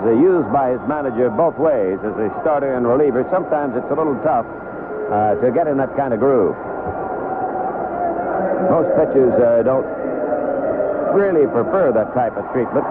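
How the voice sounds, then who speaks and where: A man announcing baseball play-by-play on the radio in a conversational way, with clipped phrases between pauses. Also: medium pitch. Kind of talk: live play-by-play commentary. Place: broadcast booth at a baseball stadium. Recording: noisy lo-fi 1970s AM radio broadcast.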